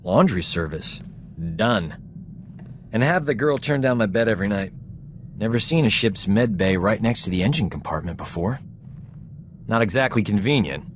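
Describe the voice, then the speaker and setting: Game medic, played by a man: cocky, breezy, teasing, lively pitch. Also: normal speed, pauses often, slight background noise, close to an everyday voice. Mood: flippant. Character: cocky male field doctor, vain and flippant. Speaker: ship's medic. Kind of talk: video game voice acting.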